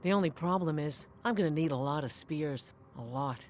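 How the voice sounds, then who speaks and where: A woman's voice: low-pitched, moderate pace, a little mellow, slight background noise, close to an everyday voice. A woman playing a driven, vengeful warrior villager in a video game, earnest and intent. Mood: earnest, scheming. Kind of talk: video game character dialogue. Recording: music behind the voice.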